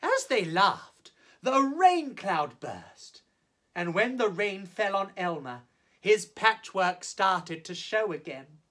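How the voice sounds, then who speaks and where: Male drag storyteller, high-pitched, slow pace, theatrical emphasis, stretched words, children's picture-book reading. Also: big pitch swings, bright, forceful tone. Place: small indoor set, on camera.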